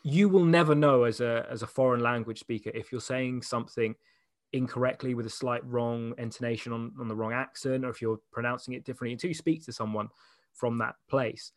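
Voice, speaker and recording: A man, chatting as an interview guest, quick to laugh and full of anecdotes. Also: mid-range voice, fairly even intonation, no echo. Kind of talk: casual chat.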